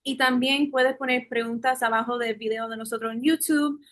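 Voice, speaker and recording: A woman hosting a podcast, welcoming a guest in a relaxed conversational way with a few fillers. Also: fairly high pitch, normal speed. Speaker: podcast host. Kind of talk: podcast interview.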